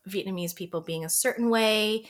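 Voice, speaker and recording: A woman's voice: medium pitch, moderate pace. A woman raised in Canada, a podcast guest on a remote call, chatting casually with lots of "like" fillers and easy laughs. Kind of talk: casual chat.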